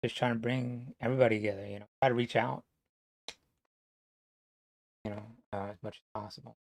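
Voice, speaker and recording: A man from New Jersey talking to camera in a rambling, casual monologue, halting and full of fillers, swearing now and then. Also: mid-range voice, fairly even intonation, vocal fry, dry recording. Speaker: vlogger.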